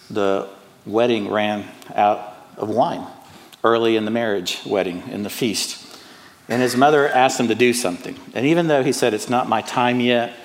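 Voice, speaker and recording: A middle-aged man from Cuba, raised in the American South, with a Southern accent, preaching an earnest and personal sermon, often pausing on "uh". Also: on the low side, big-room sound. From Cuba, raised in Atlanta. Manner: earnest, personal, with frequent "uh" fillers. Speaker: pastor. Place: church sanctuary.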